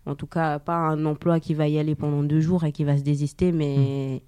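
A woman's voice: deep voice, flat delivery, brisk, warm tone, smooth, no room sound. A young woman born in Portugal, guest on a local radio show, talking casually with frequent fillers. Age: young adult. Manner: hesitant, many fillers.

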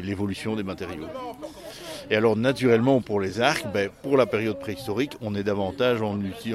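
A man's voice: on the low side, a little mellow. A man answering interview questions and explaining technique in careful, technical detail, often restarting mid-phrase. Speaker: flint-knapping expert.

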